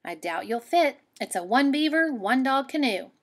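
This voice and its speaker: A woman's voice: high-pitched, animated, moderate pace, voice pushed up. A woman voicing a plucky, exasperated young girl in a storybook, with emphatic sing-song delivery. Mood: firm refusal.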